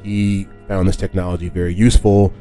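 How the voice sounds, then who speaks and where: A man hosting a podcast, explaining history casually in a halting, conversational way and often rephrasing himself. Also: low-pitched, little pitch movement, warm tone, faint music behind. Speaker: podcast host.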